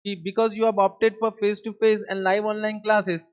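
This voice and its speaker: High-voiced Indian English teacher lecturing. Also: male voice, flat delivery, fairly quick, smooth. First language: Hindi. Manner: keeps checking in with students, repeats key points. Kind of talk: classroom lecture. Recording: dull lo-fi lecture recording.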